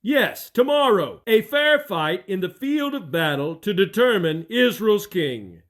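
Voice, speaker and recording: A smug, scheming claimant to the throne played by a man in a Bible audio drama. The voice is high and swings widely in pitch, sly and taunting. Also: big pitch swings, unhurried, a bit bright, heavily acted. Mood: smug challenge.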